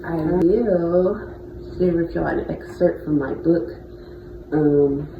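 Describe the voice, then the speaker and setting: A thirty-year-old vlogger talking casually and off the cuff, in a reflective, halting, freestyled way. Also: medium pitch, vocal fry. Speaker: bioengineering student. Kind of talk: casual unscripted personal vlog. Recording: dull lo-fi audio with vehicle-like noise.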